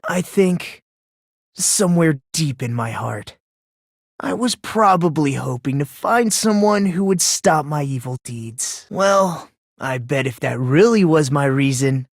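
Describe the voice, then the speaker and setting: A man voicing an unsettlingly cheerful, self-deprecating young student in a video game, soft-spoken, polite and theatrical. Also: on the high side, dry recording, clean studio sound, full character voice. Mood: mock-innocent. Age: young adult. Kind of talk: English video game voice acting.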